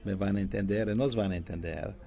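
Gravelly, deep-toned preacher man. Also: fairly even intonation, warm tone. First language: not Spanish; preaches in English and Italian. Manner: halting non-native Spanish with many restarts and fillers. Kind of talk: conversational Bible teaching.

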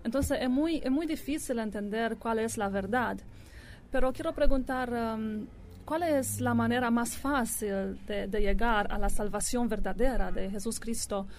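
A woman with a slightly high voice asks questions in beginner's Spanish. She speaks carefully and pauses to find her words. There is a faint hiss in the background. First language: not Spanish; learning it for a few months.

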